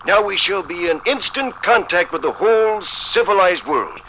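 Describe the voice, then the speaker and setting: An actor playing a proud, formal nineteenth-century judge in a 1950s radio dramatization, solemn, deliberate and oratorical. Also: male voice, high-pitched, animated, sharp tone, heavily acted, low-quality recording. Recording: vintage radio recording with faint noise.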